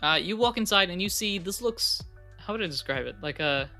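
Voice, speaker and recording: Bright-voiced young game master. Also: male voice, high voice, lively intonation, fairly quick, faint music behind. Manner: casual, filler-heavy narration. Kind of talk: narrating a tabletop game.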